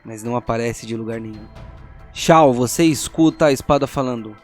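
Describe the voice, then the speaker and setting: A man narrating a tabletop fantasy story as game master, in a grave, deliberate storytelling manner. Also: mid-range voice. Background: faint music.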